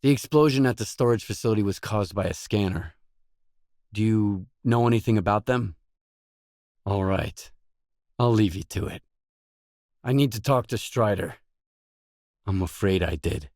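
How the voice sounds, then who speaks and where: An actor voicing a gruff, guarded stalker mercenary in a video game, blunt, terse and no-nonsense. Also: male voice, fairly low pitch, moderate pace, long pauses, a little mellow, dry recording, clean studio sound, lightly acted. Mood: terse, businesslike. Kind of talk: video game dialogue lines.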